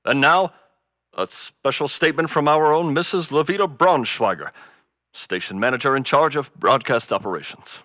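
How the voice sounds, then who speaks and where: An actor playing a flustered radio newscaster in a comedic radio drama, with a slightly dull recording. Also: male voice, mid-range voice, moderate pace, bright, forceful tone, no echo, natural voice. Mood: earnest.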